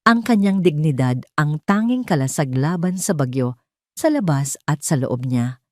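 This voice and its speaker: A woman's voice: sits low, lively intonation, unhurried, dry recording, studio quality. A woman reading a scripted story as a dramatic storyteller. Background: soft music.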